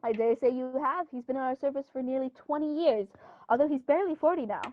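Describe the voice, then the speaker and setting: Woman as genteel country squire, high-pitched. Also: fast talker, a little hiss or hum, close to an everyday voice. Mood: confiding. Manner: theatrical, genteel, emphatic. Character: cordial, indignant upper-class English country squire, male. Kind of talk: 1930s-style radio play acting. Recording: dull, muffled recording.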